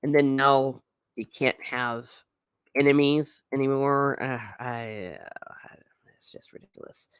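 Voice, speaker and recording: Low-voiced woman chatting on a livestream. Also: fairly even intonation, slow pace, clear, resonant tone, dry recording. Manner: chatty and blunt, with frequent asides and restarts. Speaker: livestream host. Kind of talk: casual livestream chat, reading viewer comments.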